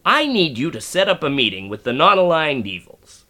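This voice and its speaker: Grandiose mad scientist, wildly swooping voice. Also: male voice, fairly high pitch, sing-song, normal speed, sharp tone. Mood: exasperated. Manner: theatrical, pompous, exasperated. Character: grandiose, petulant male mad scientist supervillain. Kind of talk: comic radio play acting.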